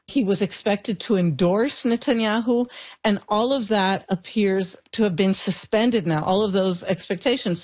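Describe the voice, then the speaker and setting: A woman's voice: mid-range voice, a little mellow. A woman journalist gives fluent, explanatory analysis in an interview. Her voice sits in a mid range. It comes through a dull, lo-fi remote line. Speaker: journalist guest.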